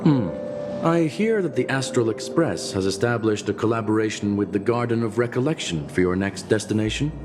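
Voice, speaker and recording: Guy voicing a low detached robot-like intellectual, formal and measured, game character, music behind him. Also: lively intonation, lightly acted. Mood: detached musing. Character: detached, intellectual non-organic male scholar of logic. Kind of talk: scripted video game voice acting.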